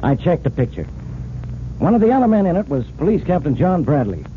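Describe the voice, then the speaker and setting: Blustering higher-pitched radio police detective. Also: male voice, big pitch swings, fairly quick. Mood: insistent. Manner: blustering, grumbling, sure of himself. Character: exasperated, stubborn police detective, adult man. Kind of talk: old-time radio drama acting. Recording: tinny narrow-band vintage radio recording, hiss.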